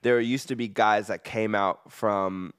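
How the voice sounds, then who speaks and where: A man's voice: on the low side, steady tone, a little slow, fairly bright tone, clear, resonant tone. An American man, a guest recording artist, chatting in an easygoing way with loose, run-on phrases. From United States. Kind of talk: casual interview chat.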